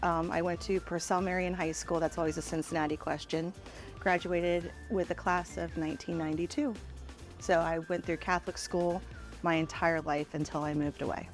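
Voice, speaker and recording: A woman's voice: on the low side, little pitch movement, normal speed, soft background music. A woman, a bar and grill owner answering interview questions playfully and laughing often. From Norwood, Ohio. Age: late 40s. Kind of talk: casual interview.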